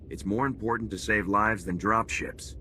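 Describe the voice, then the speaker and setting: A man's voice: on the low side, fairly even intonation, moderate pace, sharp tone, slight background noise. A man voicing a principled, resolute military officer in a video game, with terse, firm delivery.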